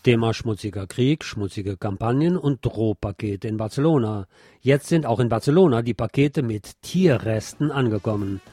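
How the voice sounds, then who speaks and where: A man's voice: medium pitch, animated, moderate pace, mellow, husky. A man presenting radio news from a script, with the occasional wry aside.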